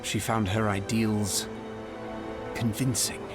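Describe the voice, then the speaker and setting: A man playing a calm, grave wandering hero in a fantasy game, measured and gently probing. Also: on the low side. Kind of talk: dramatic fantasy game dialogue. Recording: loud music behind dialogue.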